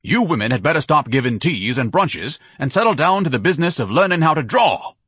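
A man voicing a pompous, indignant male hot dog vendor in an audiobook reading, loud and theatrical. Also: medium pitch, big pitch swings, fairly quick, slightly rough, no echo, clean studio sound, voice pushed up, exaggerated voice.

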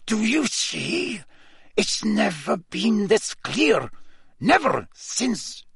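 An anxious, secretive professor from a fantasy storybook, voiced by a male reader. He mutters urgently with barely opened lips, pitched up from the narration and recorded very dry. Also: big pitch swings, very slow, vocal fry, fairly bright tone, slightly rough, no room sound, exaggerated voice. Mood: anxious, agitated. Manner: tight-lipped, urgent muttering.

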